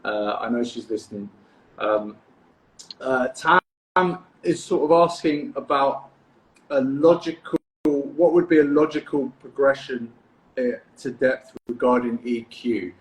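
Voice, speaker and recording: A man from the UK, a freediving coach, hosting a casual podcast interview in a relaxed, encouraging way, often repeating words as he starts a thought. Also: medium pitch, measured pace, reverberant, rough recording. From United Kingdom.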